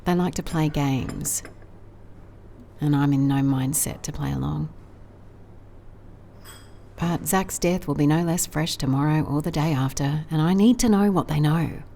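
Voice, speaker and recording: Low-voiced, weary private investigator. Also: female voice, vocal fry, warm tone, a little hiss or hum. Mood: wry, observant. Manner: dry, measured, confiding. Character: weary female private investigator dictating case notes, dry and guarded. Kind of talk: audio drama monologue as dictated notes.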